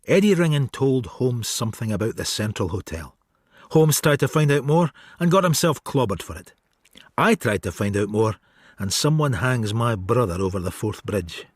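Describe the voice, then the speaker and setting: A man's voice: medium pitch, very animated, normal speed, husky, no echo, studio quality. An actor playing a brooding, sardonic detective, narrating his case in a radio drama.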